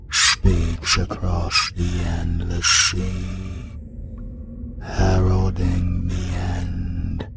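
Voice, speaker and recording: A man voicing an ancient, menacing world-devouring demon in an audio roleplay, British, with a slow, brooding, incantation-like delivery. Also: creaky, full character voice. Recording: loud rumbling noise behind.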